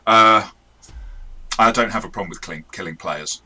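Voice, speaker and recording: Male review co-host, low pitch, forceful sharp edge, conversational with frequent self-corrections. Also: normal speed. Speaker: tabletop game master and review show co-host. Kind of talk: casual review discussion.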